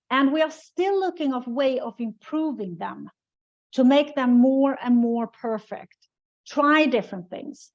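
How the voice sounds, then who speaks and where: A woman leads a business webinar as a coach. She speaks in a slightly high voice and stays emphatic, repeating her key points and checking in with a "right?". The sound is dull and lo-fi, like a webinar recording. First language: Swedish. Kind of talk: webinar teaching.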